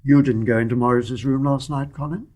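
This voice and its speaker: Actor as a husky British major. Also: male voice, mid-range voice, steady tone, fairly quick, natural voice. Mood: probing. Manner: questioning, gentlemanly. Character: probing, level-headed gentleman army major. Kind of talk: British stage-play radio acting.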